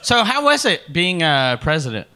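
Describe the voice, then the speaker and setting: A man's voice: fairly high pitch, very animated, normal speed, sharp tone. A man with a Quebec French accent playing a clueless, unprepared male podcast host who speaks broken English with French mixed in, on a comedy club stage with a handheld mic. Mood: bumbling.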